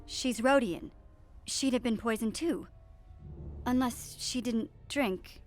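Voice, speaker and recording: Slow, grave female senator. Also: fairly high pitch, a little mellow, soft background music. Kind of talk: animated series voice acting.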